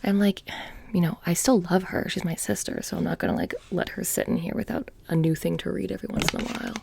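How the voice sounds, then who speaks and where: A woman playing a petty, blasé immortal witch shopkeeper in a soft-spoken ASMR roleplay, rambling casually and slipping into whispers. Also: fairly low pitch, sing-song, fast talker, a little hiss or hum, heavily acted. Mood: blasé, mildly annoyed. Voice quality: soft, breathy, creaky. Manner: casual rambling, many "like" fillers, drifting into whispers. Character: blasé, petty immortal witch running a haunted trinket shop.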